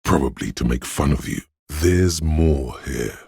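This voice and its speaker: Very deep, gravelly, menacing inner voice. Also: male voice, darkish tone, no echo, studio quality, exaggerated voice. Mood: wary, ominous. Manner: brooding, theatrical, deliberate delivery with ominous weight. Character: menacing inner voice of fear and violent aggression. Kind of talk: second-person dramatic video game narration.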